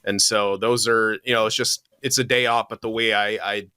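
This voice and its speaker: A man's voice: fairly low pitch, little pitch movement, moderate pace, sharp tone, clean tone, dry recording, clean studio sound. A young man co-hosting a podcast, chatting loosely, swearing freely and laughing often. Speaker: podcast co-host. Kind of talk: casual chat.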